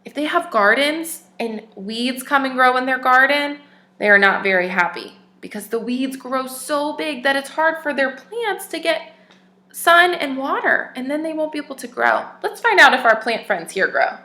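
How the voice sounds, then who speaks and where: A teacher reading a storybook aloud to little kids, her voice bright and a touch high for a woman. She reads with lively expression and pauses to quiz her listeners. The sound rings in an echoey room. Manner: expressive, asks the young listeners questions. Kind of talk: reading a picture book aloud to children.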